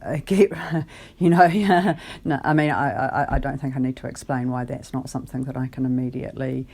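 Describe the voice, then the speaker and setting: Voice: mid-range voice, fairly even intonation, moderate pace, a little mellow, slightly rough. A caller on a phone conversation, explaining their case in a hesitant, careful and articulate way. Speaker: caller, benefit client.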